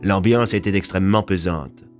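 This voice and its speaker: Un homme qui raconte une fiction audio, en narration dramatique écrite. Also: male voice, sits low, normal speed, faint music behind. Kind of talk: scripted dramatic story narration.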